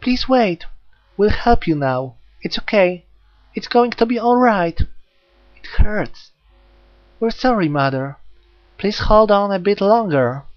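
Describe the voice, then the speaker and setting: A man voicing an eerily gentle young girl, an assassin spirit, politely and calmly, in an audiobook story. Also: sits high, slow, pauses often, no room sound, muffled recording, voice pushed up. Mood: tender, pleading.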